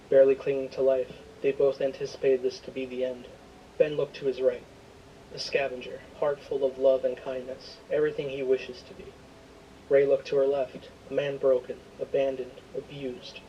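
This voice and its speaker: A man's voice: mid-range voice, dark tone, muffled recording, slight background noise. A man reading a scripted, dramatic story as its narrator. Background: prominent music.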